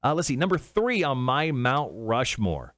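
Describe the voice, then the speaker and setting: Lively-pitched man co-hosting casual talk. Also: measured pace, dry recording, studio quality. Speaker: show co-host. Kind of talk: casual entertainment talk show banter.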